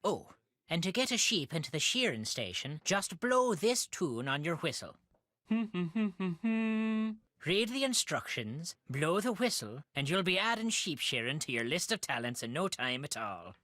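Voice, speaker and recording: A woman's voice: fairly low pitch, very animated, measured pace, fairly bright tone, no room sound, clean studio sound. An actress voicing a blunt, wry Irish countrywoman who keeps sheep, with folksy, brusque phrasing in a video game. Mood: amiable, businesslike. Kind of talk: video game character dialogue.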